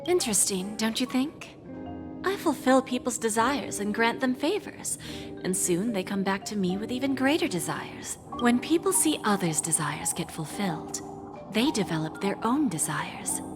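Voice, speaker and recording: An actress playing a sly, composed dealer in desires in a video game, speaking in a measured, insinuating way and sinking into breathy near-whispers. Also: female voice, on the high side, expressive, close to an everyday voice. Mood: coolly ominous. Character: sly, composed adult female pawnbroker dealing in desires. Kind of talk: scripted game dialogue, storytelling monologue. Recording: music behind the voice.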